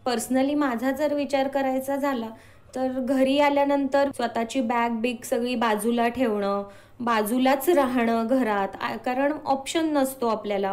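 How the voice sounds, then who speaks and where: A woman's voice: on the high side, darkish tone. A woman answering as an interview guest, earnestly explaining and mixing in English words.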